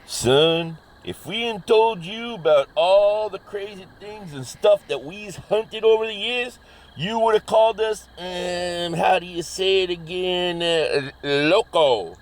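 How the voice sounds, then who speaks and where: A man's voice: high-pitched, slow pace, slight background noise. A man playing an ornery, suspicious, dim-witted backwoods hillbilly with a put-on rural drawl in a comedy audio drama. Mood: wary, blustering. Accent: rural Southern hillbilly drawl, put on. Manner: folksy bad grammar, exaggerated bluster.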